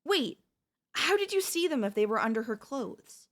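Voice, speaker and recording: A woman playing a devoted, possessive wife in an ASMR audio roleplay, speaking haltingly with deliberate dramatic pauses. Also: high voice, big pitch swings, fairly bright tone. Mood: puzzled, suspicious. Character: devoted wife, shocked then eerily composed, quietly possessive.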